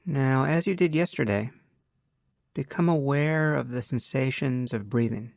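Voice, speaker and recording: A man with a mid-pitched, noticeably creaky voice teaches a guided meditation. He gives measured, unhurried instructions and leaves long pauses between phrases. The recording is very dry, with no room sound on the voice. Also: darkish tone, no echo.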